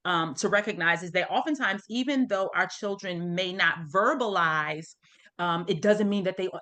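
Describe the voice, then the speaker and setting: A woman's voice: fairly low pitch, fairly bright tone. A middle-aged woman from the United States hosting an online support group, explaining informally and often checking in with her listeners. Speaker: parent support group host. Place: online video meeting. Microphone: video call.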